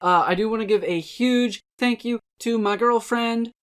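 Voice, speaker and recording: A woman's voice: on the high side, normal speed, smooth. A woman talking casually to her listeners as a video creator, rambling and poking fun at herself. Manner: rambling, self-deprecating. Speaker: video creator and dramatic reader.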